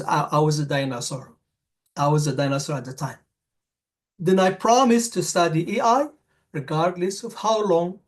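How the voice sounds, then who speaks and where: Earnest man, slightly high voice. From Morocco. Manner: earnest, repeats himself for emphasis, halting restarts. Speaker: conference speaker. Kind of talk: personal story talk at a summit.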